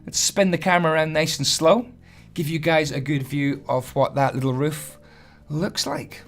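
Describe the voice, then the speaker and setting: A hoarse man, chatty and self-deprecating as he gives a casual building tutorial voice-over. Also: a little high, moderate pace, soft background music. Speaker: Minecraft building tutorial host.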